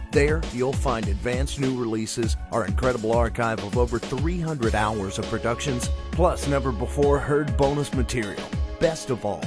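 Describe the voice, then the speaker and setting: A man working as an announcer, reading a scripted promotional outro. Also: medium pitch. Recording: clear music bed behind voice.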